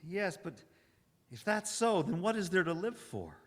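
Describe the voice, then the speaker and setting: A man playing a haunted, weary wanderer, solemn and sometimes halting, in a live radio drama. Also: sits high, very animated, a bit bright, full character voice. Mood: anxious, despairing. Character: haunted, weary male wanderer narrating his survival. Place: church hall stage, live performance. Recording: echoey hall, live performance.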